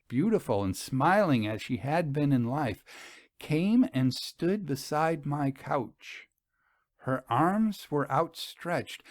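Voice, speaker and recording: A man's voice: fairly high pitch, no echo. A middle-aged man narrates a gothic story in a grave, sorrowful tone, letting his voice swell with anguish at the darkest moments. He takes his time over every phrase, and the close, dry recording carries no sense of room at all. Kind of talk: dramatic reading of a gothic first-person tale.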